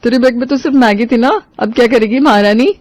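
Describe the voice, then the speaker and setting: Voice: medium pitch, quick pace, no room sound, natural voice. A performer voicing a teasing, blunt young relative or friend in a family comedy story. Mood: mocking.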